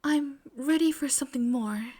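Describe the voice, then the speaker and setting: A shy teenage girl, acted by a woman, proper and earnest, in a fan-made audio story. Her voice is high and soft, breathy at times, and she speaks in a hesitant, flustered way. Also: normal speed, lightly acted. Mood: earnest, nervous. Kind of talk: fan fiction audio drama.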